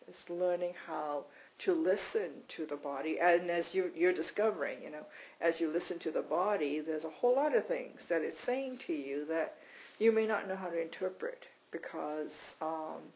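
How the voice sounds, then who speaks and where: A meditation teacher from Canada giving a mindfulness retreat talk, halting and self-deprecating, with frequent restarts. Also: medium pitch, darkish tone, rough recording.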